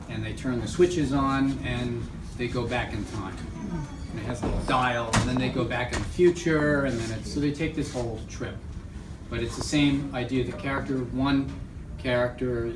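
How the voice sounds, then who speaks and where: A man teaching a radio theatre workshop informally, thinking aloud in a halting way. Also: mid-range voice. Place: echoey indoor workshop room. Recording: roomy, noisy room, distant mic.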